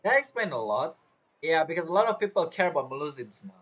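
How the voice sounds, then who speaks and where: A game streamer with a husky voice giving casual let's-play commentary. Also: medium pitch, sing-song, fast, fairly bright tone, rough recording.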